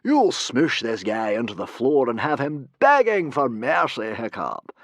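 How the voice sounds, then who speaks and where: A man voicing a grand, pompous adult male hero who proclaims everything loudly. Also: high voice, big pitch swings, measured pace, dry recording, pitched above the performer's own voice. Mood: scornful.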